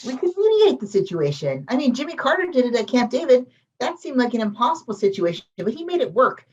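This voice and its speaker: Distant, dull audio of an earnest, idealistic peacemaker read by a woman at a table read. Her voice is on the high side and persuasive. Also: sing-song, fast talker, a little mellow. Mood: earnest pleading. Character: earnest, idealistic peacemaker who pities the shark. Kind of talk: amateur script table read.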